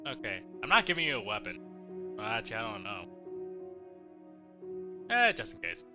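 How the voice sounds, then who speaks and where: A man's voice: lowish voice, huge pitch swings, moderate pace, dull audio, music in the background. A man casually commentating while playing a horror game, jokey and swearing often. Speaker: let's play streamer.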